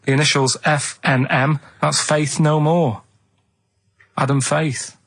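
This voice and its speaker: A man reading out quiz clues and chatting casually on a radio show, in a halting, matter-of-fact way. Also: fairly high pitch, level tone, a bit bright. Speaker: radio show regular running a quiz feature. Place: radio studio.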